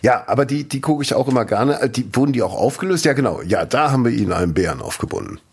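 A man's voice: mid-range voice, expressive, quick pace, breathy edge. A man co-hosting a podcast in casual chat, with a dry, teasing way of talking. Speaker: podcast co-host.

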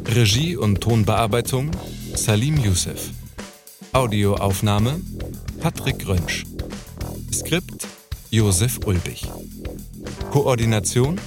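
A man reading scripted closing credits as an announcer. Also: on the low side. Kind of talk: scripted narration. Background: theme music with drums and guitar.

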